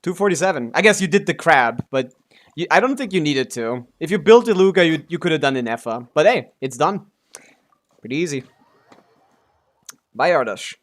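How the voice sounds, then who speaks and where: A man's voice: on the high side, animated, moderate pace, pauses often. A man giving casual live gameplay commentary as a streamer, offhand and sweary, full of gamer slang. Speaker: game streamer.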